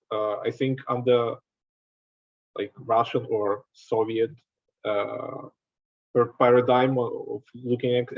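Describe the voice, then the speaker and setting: A man, not a native English speaker, hosting a conversational discussion, stumbling and repeating words as he searches for them. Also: mid-range voice. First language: Ukrainian. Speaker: host. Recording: dull lo-fi audio.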